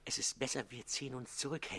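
An actor playing a wary, polite gentleman in a radio play, speaking in a husky voice with courteous caution. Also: medium pitch, fairly even intonation, moderate pace. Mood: uneasy, discreet. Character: wary, polite gentleman urging discreet retreat. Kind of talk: stage-classic radio play acting.

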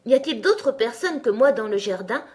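A woman voicing a curious, polite young girl who is easily astonished, in an expressive children's storybook reading. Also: a little high, moderate pace, voice pushed up, close to an everyday voice.

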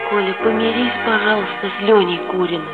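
A woman's voice: medium pitch, fairly even intonation, brisk, over loud music, lightly acted. Woman as frank little schoolgirl, muffled old radio. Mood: pleading. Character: small, frank, pleading schoolgirl. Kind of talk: 1960s Soviet radio play acting.

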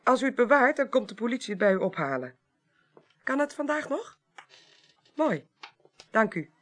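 An actress playing a sharp-witted, assertive investigator in a 1980s radio crime drama, speaking precisely and matter-of-factly. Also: female voice, fairly high pitch, big pitch swings, normal speed. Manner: precise, assured, matter-of-fact.

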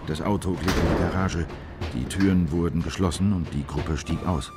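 A man acting as an official who recounts a secret operation in measured, factual radio play narration. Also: low voice, steady tone. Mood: measured, grave. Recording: music behind the voice.

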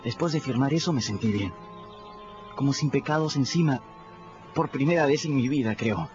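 A man's voice: moderate pace, a little mellow. A man narrating in first person for a radio drama, earnest and reflective. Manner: earnest, reflective, rises in pitch when moved. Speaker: narrator voicing a boy. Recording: muffled radio audio with music.

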